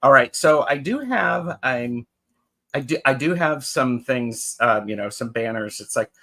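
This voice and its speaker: A man's voice: mid-range voice, animated, unhurried, fairly bright tone. A man hosting a casual interview chat, encouraging his guest with frequent affirmations. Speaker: host.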